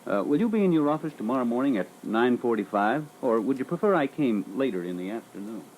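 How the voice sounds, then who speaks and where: Man acting as lively, persistent insurance salesman. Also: mid-range voice, fast, natural voice. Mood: courteous, persistent. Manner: courteous, businesslike, persistent. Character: polite, tactfully persistent male life insurance salesman. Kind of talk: scripted sales-call role-play. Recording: noisy old reel-to-reel training record.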